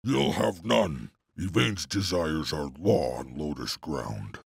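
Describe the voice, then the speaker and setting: A man's voice: very deep voice, animated, slow, creaky, slightly rough, dry recording, studio quality, heavily acted. A man voicing a cruel, bullying taskmaster in a video game, sneering and domineering as he gives orders. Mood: servile, cold. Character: cruel, bullying serpent-clan slave taskmaster. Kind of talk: theatrical video game villain acting.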